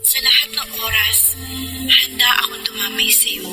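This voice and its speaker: Actress as a high-voiced pleading young Filipina girlfriend. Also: normal speed, natural voice. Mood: pleading, hurt. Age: young adult. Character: devoted, insistent young girlfriend eager to help. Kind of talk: Filipino radio drama acting. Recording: music under the voice.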